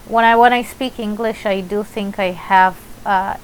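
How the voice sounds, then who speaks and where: Slow, hesitant woman with an Israeli accent. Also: mid-range voice, steady tone, slight background noise, low-quality recording. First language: Russian. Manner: hesitant, many fillers and restarts, light laughter. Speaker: vlogger. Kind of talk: casual vlog monologue.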